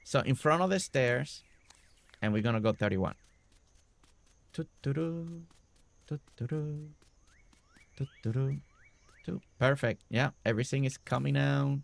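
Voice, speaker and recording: A man's voice: mid-range voice, unhurried, gravelly, pauses often, dry recording. A man streaming a building game, chatting casually with viewers by name and a little congested. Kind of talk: casual live-stream chat while building.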